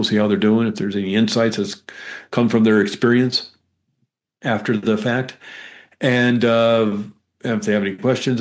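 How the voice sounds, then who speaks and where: A man with a fairly low voice speaks as a guest in a conversational interview. He explains and tells stories in a rambling manner, often halting and repeating words as he goes. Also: a little mellow. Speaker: guest, hypnotherapist.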